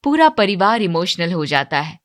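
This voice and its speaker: A woman's voice: fairly low pitch, sing-song, normal speed, fairly bright tone. A woman narrating a scripted moral story as a storyteller.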